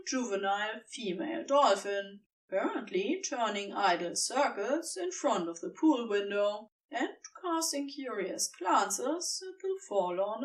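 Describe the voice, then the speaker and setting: Expressive, slow-paced woman narrating fiction. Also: on the high side, distant mic, rough recording. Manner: deliberate, storytelling delivery with dramatic pauses. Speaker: audiobook narrator.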